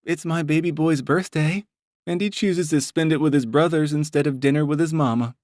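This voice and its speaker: A man's voice: on the high side, fairly even intonation, normal speed, a little mellow, no echo, voice pushed up, natural voice. Doting mama, fussy and scolding; male reader voicing her, dry close sound. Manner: fussing, scolding, affectionate. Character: warm doting middle-aged mother.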